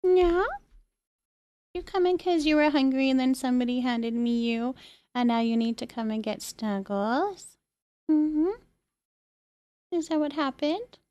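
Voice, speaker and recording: A woman's voice: sits high, expressive, unhurried, long pauses, warm tone, no room sound, highs cut off. A woman hosting a live game stream, chatting casually and thinking aloud as she goes.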